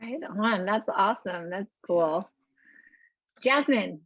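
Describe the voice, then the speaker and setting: A woman's voice: mid-range voice, expressive, slow, a bit bright, husky, no echo. A woman hosting a children's question session as a teacher, speaking informally and encouragingly. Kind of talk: casual moderating of a virtual question session. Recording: dull lo-fi call audio.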